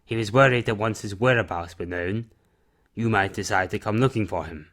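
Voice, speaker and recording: A man playing a principled, protective recluse in an audio drama, with a measured, formal way of talking. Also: lowish voice, a bit bright. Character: principled, protective male recluse who shelters a runaway.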